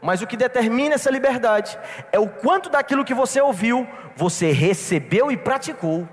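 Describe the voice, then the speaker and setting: A man's voice: extremely high pitch, expressive, normal speed, faint music behind. A man preaching a sermon to a church congregation, telling a personal story and calling them brothers. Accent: non-local Brazilian. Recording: long reverb in a church hall.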